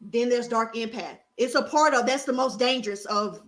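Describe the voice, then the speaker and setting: Animated, cursing woman in casual talk. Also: fairly high pitch, moderate pace. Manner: animated, swears freely, restarts often. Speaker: co-host. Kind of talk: casual chat.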